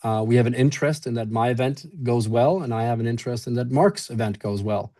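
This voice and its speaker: A man from Sweden with no clear accent, talking in a relaxed, friendly way with many fillers on a webinar panel. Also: mid-range voice, quick pace. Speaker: event organizer.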